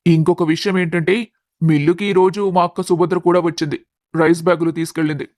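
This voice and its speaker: Guy playing a mild middle-aged dad in a family story, earnest, high for a man, dry sound. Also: little pitch movement, fairly quick, darkish tone, no room sound, lightly acted. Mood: proud.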